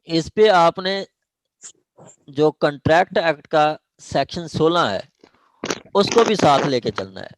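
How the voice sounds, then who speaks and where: A man's voice: a little high, no room sound, poor audio. A man with a Pakistani accent giving an informal law lecture, explaining step by step and repeating his phrases.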